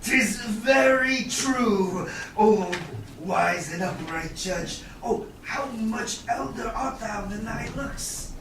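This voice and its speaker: A middle-aged man playing a vengeful, stubborn moneylender in a theatrical staged Shakespeare reading, speaking emphatically. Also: sits high. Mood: exultant. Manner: deliberate, exclamatory, emphatic. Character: vengeful, stubborn male moneylender demanding his bond. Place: small theatre stage, indoors. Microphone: far audience camera. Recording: echoey stage, distant camera, faint noise.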